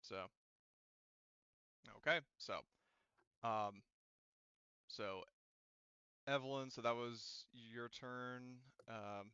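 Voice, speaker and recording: Bright, clear-voiced man running a game. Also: mid-range voice, steady tone, drawn-out words, long pauses, no room sound. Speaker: game master. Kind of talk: casual chat running an online tabletop game. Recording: dry, slightly dull audio.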